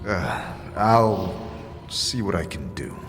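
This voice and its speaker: A man's voice: sits low, animated, slow pace, music in the background, exaggerated voice. A man playing a weary, bewildered immortal wanderer with no memory, speaking earnestly and hesitantly in an audio drama. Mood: curious, hesitant. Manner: hesitant, searching. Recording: long reverb, ambient noise behind.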